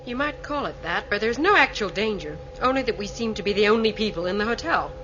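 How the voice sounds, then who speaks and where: Woman playing a brisk, expressive starship captain. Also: medium pitch, muffled recording, natural voice. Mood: brisk, businesslike. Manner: authoritative, businesslike. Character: brisk, commanding female starship captain, probing. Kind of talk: radio drama acting.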